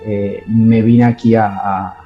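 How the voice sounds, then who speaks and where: A man's voice: on the low side, flat delivery, takes it slowly, muffled recording, faint music behind. A man from Ecuador, giving his church testimony in an interview and talking hesitantly, with many fillers and restarts.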